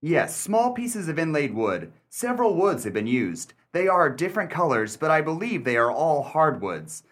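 A male actor plays a self-assured gentleman sleuth of period radio mystery. His voice sits a little high and swings widely in pitch. He speaks in precise, formal phrases, dryly condescending and sceptical of anything fanciful. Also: big pitch swings. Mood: curious, methodical. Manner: precise, deliberate, formal diction.

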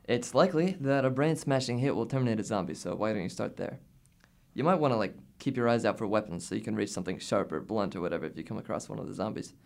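A man's voice: on the low side, fast talker, creaky, a little mellow. A man acting in an audio drama as a pedantic, matter-of-fact teenage boy who plans zombie survival. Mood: brisk, instructive. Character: know-it-all teenage boy, self-appointed zombie survival strategist.

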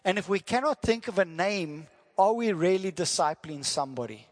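A man's voice: high voice, animated, moderate pace. A South African man preaching as a visiting missionary, friendly and joking, asking the congregation questions. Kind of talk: sermon with prayer. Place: church hall. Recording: church hall reverb.

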